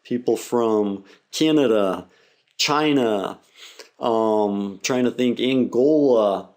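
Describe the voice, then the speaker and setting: A man in middle age explains how to learn a language, unhurried and deliberate. His voice sits at an ordinary middle pitch. He talks like a teacher walking students through a method, step by step. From English-speaking country. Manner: measured, steady explaining. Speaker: English teacher.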